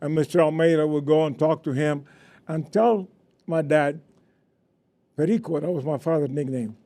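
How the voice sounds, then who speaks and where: Older Cuban man, mellow voice. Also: on the high side. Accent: Cuban Spanish. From Cuba. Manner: earnest, proud, with restarts. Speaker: panel guest, former baseball player. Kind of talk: recounting memories on a panel.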